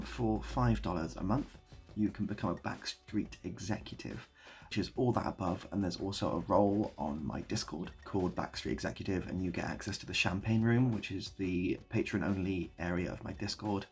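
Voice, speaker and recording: A man talking casually to camera in a rambling, self-deprecating way with deadpan whimsy. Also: low-pitched, moderate pace, slightly rough. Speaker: video creator and host. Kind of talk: casual improvised monologue to camera.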